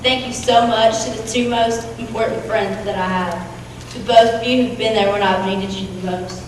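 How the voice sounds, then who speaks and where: A woman's voice: a little high, fairly even intonation, moderate pace. A young woman reciting a readers theatre monologue as a student performer. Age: teenager or young adult. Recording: echoey hall from far off, faint noise.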